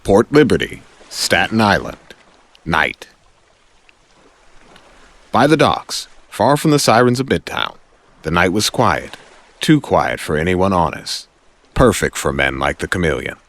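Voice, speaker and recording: A man narrating an audio drama in a suspenseful style, with deliberate phrasing and dramatic pauses. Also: deep voice, sing-song, gravelly, a bit bright. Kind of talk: dramatic scripted story narration.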